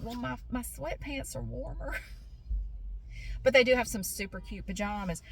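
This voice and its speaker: A woman's voice: on the high side, fairly bright tone, low-quality recording. A middle-aged woman chatting casually in a vlog, rambling with lots of fillers and bursting into emphatic encouragement. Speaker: vlogger. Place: in her car, then inside a big store.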